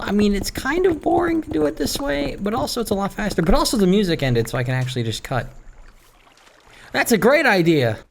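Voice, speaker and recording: A man doing casual Let's Play commentary, musing and talking to himself in a rambling way as he plays. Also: medium pitch. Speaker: gaming video creator. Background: game music.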